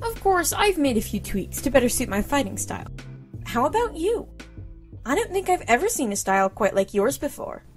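An actress playing a poised, politely curious young huntress student who speaks formally and precisely in an audio drama. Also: female voice, high-pitched, sing-song, moderate pace, faint music behind.

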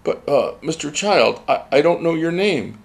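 A man's voice: a little high, lively intonation, moderate pace, vocal fry, voice pushed up. A man voicing Johann, an anxious, exasperated old male gull in a spoken story. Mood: flustered.

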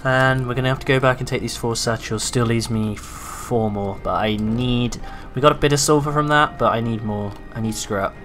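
A man giving casual gameplay commentary, his voice a little raspy from hours of talking, excitable and swearing freely. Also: mid-range voice, normal speed, hardly any pauses, faint music behind. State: slightly raspy from long talking. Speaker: gaming YouTuber.

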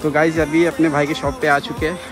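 A man's voice: on the high side, level tone, brisk. A man vlogging casually to viewers while walking busy streets, chatty and addressing them as brother and guys. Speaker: moto vlogger. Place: busy city streets and a station outdoors. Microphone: action camera carried while walking. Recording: loud music over street noise.

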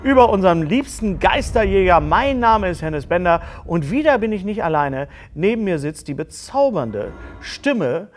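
A man's voice: sits high, very animated, normal speed, hardly any pauses. A man in his early fifties hosting a casual interview in a jokey, playful way. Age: early 50s. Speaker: talk show host. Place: small studio set, indoors.

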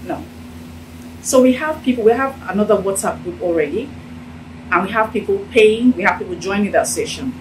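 A middle-aged Nigerian woman with a Nigerian accent, telling her story in a casual, animated interview in an office. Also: mid-range voice, brisk, recorded from a distance, slight background noise, low-quality recording. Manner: animated, gesturing while talking. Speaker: interviewee, business owner. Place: indoor office with sofa. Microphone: handheld phone held out by interviewer.

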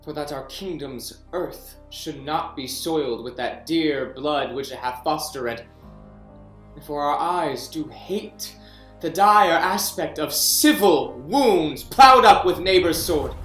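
A proud young king who measures out every line of verse slowly. The delivery is regal and stately. It is picked up by a webcam in a room with noticeable echo. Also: a little high, animated, fairly bright tone, soft background music. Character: proud young male king passing judgment. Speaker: actor. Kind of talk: staged Shakespeare reading. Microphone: webcam on a video call. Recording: echoey room.

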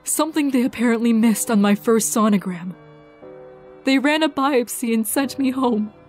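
A woman voicing a grief-worn, sardonic scientist in a fan audio drama, halting, wry and guarded. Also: on the high side, darkish tone, soft background music, close to an everyday voice. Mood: pained confession.

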